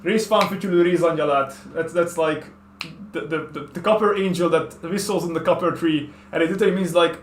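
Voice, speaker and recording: Fast-talking Hungarian-accented man. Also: on the high side, steady tone, reverberant, a little hiss or hum. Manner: fast, rambling, many restarts and fillers. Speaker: streamer host. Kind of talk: casual chat on a stream.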